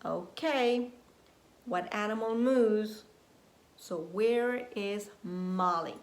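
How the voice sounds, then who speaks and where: A woman nursery teacher reading a story aloud to young children in an expressive, deliberate way, prompting them with questions. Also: mid-range voice. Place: indoors at home. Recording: roomy echo, faint background noise, home recording.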